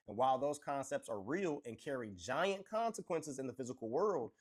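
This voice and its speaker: A man reading a scripted explainer in a steady, explanatory way. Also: mid-range voice. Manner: steady, explanatory, long flowing sentences. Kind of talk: scripted narration.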